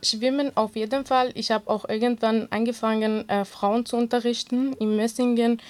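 A woman with a Kurdish accent, Kurdish her first language, answering interview questions in an earnest, halting way with many fillers. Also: fairly high pitch, darkish tone. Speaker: interview guest, swimming instructor.

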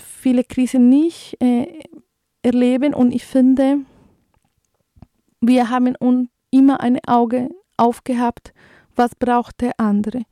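A woman's voice: fairly high pitch, little pitch movement, slow, warm tone, no echo, studio quality. A woman from Colombia in her thirties, a native Spanish speaker with a Colombian accent, hosting a community radio show in a hesitant way full of fillers and restarts. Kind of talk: community radio commentary and news reading.

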